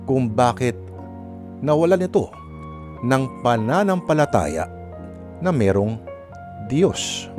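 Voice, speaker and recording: A male radio host tells a story over a clear music bed. His mid-pitched voice swings widely, with a chatty, dramatic manner that keeps turning to the listeners. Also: dark tone. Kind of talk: conversational radio hosting and storytelling.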